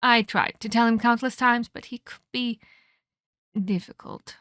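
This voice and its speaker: A woman voicing an ancient female vampire in an ASMR roleplay, a measured, patronizing mentor who scolds and teases with veiled menace. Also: on the high side, fairly even intonation, fairly bright tone, no echo, studio quality. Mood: musing. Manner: condescending, teasing, measured, addresses listener as child.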